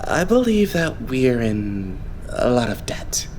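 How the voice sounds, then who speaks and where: A man voicing a polite, composed young idol leader in an anime fandub, explaining things in a measured way. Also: medium pitch, sing-song, a little mellow, slight background noise. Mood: calm explaining. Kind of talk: anime game fandub acting.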